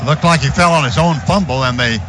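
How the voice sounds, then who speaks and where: An older man calling football play-by-play on the radio, his voice sitting a touch high and climbing to a shout when the action breaks. A steady wash of loud noise runs under his call from the booth. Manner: brisk, rising to shouts on big plays. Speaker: play-by-play announcer.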